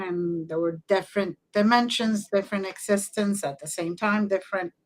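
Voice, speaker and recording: A woman's voice: mid-range voice, low-quality recording. Earnest, agreeable woman co-host. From Cuba. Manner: earnest and agreeable, with frequent affirmations. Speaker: podcast co-host. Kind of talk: casual interview chat.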